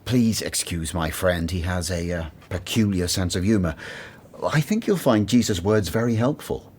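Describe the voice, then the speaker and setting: A man playing an earnest, conscientious disciple in a radio drama, speaking soberly with gentle reproof. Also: sits low, expressive, moderate pace, slightly rough. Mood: polite, helpful.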